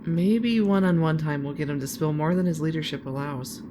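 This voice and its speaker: A woman's voice: deep voice, moderate pace, close to an everyday voice. A woman playing a cocky, teasing, hotheaded shock trooper in a sci-fi audio drama. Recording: clear background noise under the voice.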